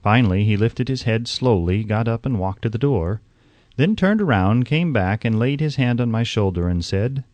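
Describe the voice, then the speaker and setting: Man narrating an audiobook with lively intonation. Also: mid-range voice, normal speed, dry recording.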